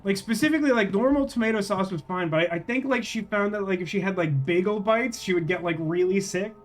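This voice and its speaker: A man's voice: sits high, fast. A man doing casual livestream game commentary, thinking aloud in a wry, rambling way as he chats with viewers.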